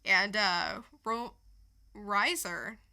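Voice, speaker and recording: Bright-voiced, unhurried woman podcaster. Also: mid-range voice, creaky, smooth. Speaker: podcast co-host. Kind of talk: casual comic book review chat.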